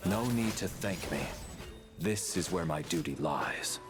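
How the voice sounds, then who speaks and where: An actor playing a stoic, dutiful guardian in a video game, speaking solemnly in terse lines. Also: male voice, low-pitched, expressive, unhurried, music in the background. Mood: solemn, resolute. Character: stoic, dutiful guardian man who calls himself a door.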